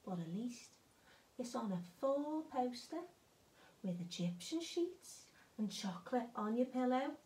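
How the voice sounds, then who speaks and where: A woman's voice: on the high side, lively intonation, a little slow, warm tone. A woman acting a jaded, wistful hotel cleaner in a stage monologue, blunt, wry and conversational. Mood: indignant, wistful. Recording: roomy, echoing space.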